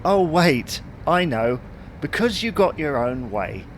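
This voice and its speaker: A grumbling British husband acted by a man, on the high side for a man, with very lively intonation. He is long-suffering and placating in a domestic audio comedy. A little car noise sits behind him. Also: slow pace, full character voice.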